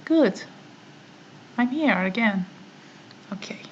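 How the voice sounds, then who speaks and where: Slow, methodical woman, Farsi native speaker. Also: a little high, animated, lo-fi sound, a little hiss or hum. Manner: slow, methodical, with frequent "uh" pauses. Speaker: online course instructor. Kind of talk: screen-recorded software tutorial.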